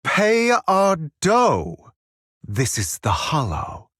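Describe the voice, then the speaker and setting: A proud, vengeful young swordsman for a video game. He is pompous and sardonic, savoring each phrase slowly with dramatic swings in pitch. The sound is dry and close. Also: huge pitch swings, a bit bright, breathy edge, no room sound, heavily acted. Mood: smug, mocking.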